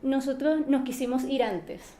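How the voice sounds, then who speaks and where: A teenage woman from Maracaibo with a Venezuelan accent, speaking calmly and in a measured way as she is interviewed. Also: high voice, reverberant.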